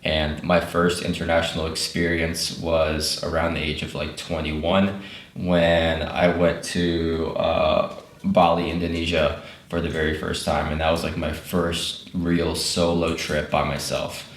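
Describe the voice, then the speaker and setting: A young man, a native Russian speaker, talks through his story as a podcast guest, loose and unhurried with plenty of "uh"s and restarts. His voice sits very low and stays flat, with little rise and fall. The room around him gives it a roomy, echoing sound. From Ukraine. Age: 20s. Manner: relaxed, rambling with fillers and restarts. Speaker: guest, travel YouTuber. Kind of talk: casual conversational interview.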